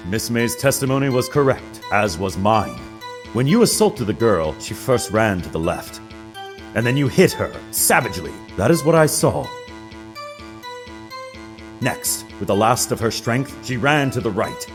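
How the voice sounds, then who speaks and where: A man's voice: medium pitch, big pitch swings, measured pace. A man playing a vain, smug male witness in a video game courtroom drama, speaking in a pompous, flowery way. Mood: smug, dramatic. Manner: pompous, flowery, self-satisfied. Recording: clear music behind the voice.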